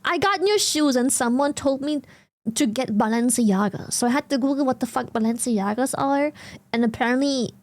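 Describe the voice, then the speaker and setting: High-voiced German woman streamer. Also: moderate pace, no room sound. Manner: laughs often, swears casually, blunt. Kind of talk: casual live-stream chat.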